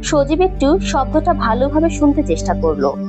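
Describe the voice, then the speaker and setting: A woman's voice: high voice, big pitch swings, moderate pace, dull audio, over music. A woman narrating a scripted horror story in a dramatic, suspenseful manner.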